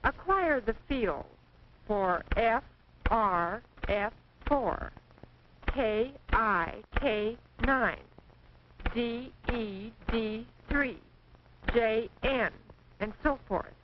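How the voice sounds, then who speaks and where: An American woman reading scripted 1940s training film narration in a precise, measured, instructive manner. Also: a little high. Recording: dull, noisy 1940s film soundtrack.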